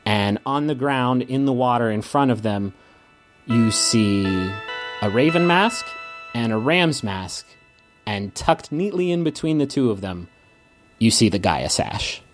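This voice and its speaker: A man narrating a tabletop game as game master, halting and dramatic, improvising as he goes with pauses. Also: medium pitch, lively intonation. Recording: music under the voice. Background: background music.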